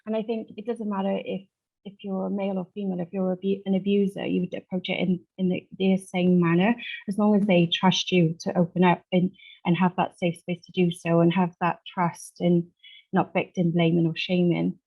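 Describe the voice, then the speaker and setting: A woman speaking off the cuff as a support worker in a group discussion, earnest and halting as she pieces her sentences together. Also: fairly low pitch, level tone, gravelly, narrow, tinny audio. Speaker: support worker, survivor engagement officer. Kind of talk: unscripted contribution to a group discussion.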